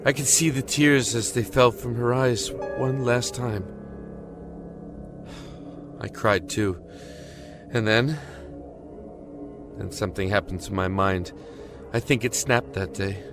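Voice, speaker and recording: A man's voice: medium pitch, over music. An actor playing a haunted young prisoner who tells of his descent into madness in a solemn, intense audio drama monologue. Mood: grieving, broken. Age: young adult. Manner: solemn, deliberate, intense storytelling.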